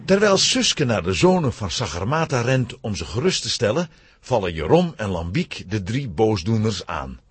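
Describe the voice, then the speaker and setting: A man narrating a children's adventure story in a scripted, dramatic, expressive style with a husky voice. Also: on the low side, moderate pace.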